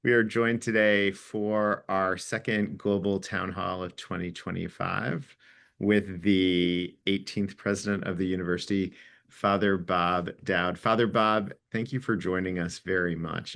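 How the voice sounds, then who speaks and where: A man's voice: sits low, flat delivery, normal speed, creaky, a bit bright. A man hosting an online town hall, introducing and questioning a guest in a conversational, semi-formal way.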